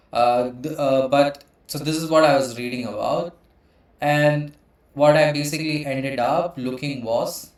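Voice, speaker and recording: Indian man explaining, echoey room. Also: medium pitch. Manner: hesitant, frequent uh fillers. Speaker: channel host and computational biologist. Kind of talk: explaining with slides.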